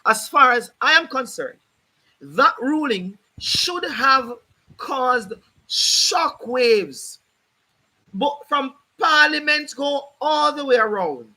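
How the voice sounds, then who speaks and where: A hoarse man hosting a livestream, explaining a court ruling in an emphatic, repetitive, lecturing way while addressing his viewers directly. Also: extremely high pitch, lively intonation, takes it slowly, bright, forceful tone, poor audio. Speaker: host of a legal rights livestream. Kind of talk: livestreamed legal commentary.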